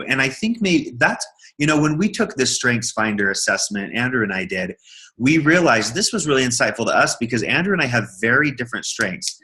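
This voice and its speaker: A man's voice: medium pitch, sharp tone, low-quality recording. A man co-presenting a business training, talking casually and repeating words as he thinks aloud. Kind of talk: casual workshop talk.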